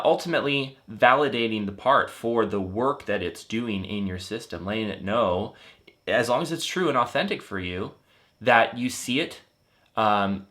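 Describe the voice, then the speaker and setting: A man in his thirties teaches in a gentle, earnest way, stopping to restart phrases and add fillers as he thinks. His voice sits fairly low yet sounds bright and forward. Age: 30s. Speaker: life coach. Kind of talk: explanatory teaching talk.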